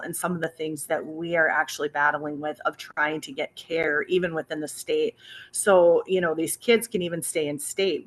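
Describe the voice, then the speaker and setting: Woman, low voice, emphatic, earnest, video call meeting. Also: fairly bright tone. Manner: emphatic, pleading. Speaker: committee member from residential providers. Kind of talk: committee meeting comment.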